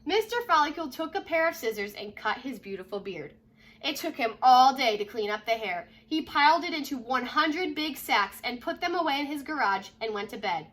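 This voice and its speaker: A woman's voice: high-pitched, moderate pace. A woman reading a children's storybook aloud as a teacher would, expressively, leaning on key words. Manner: expressive, stresses repeated words, asks listeners questions.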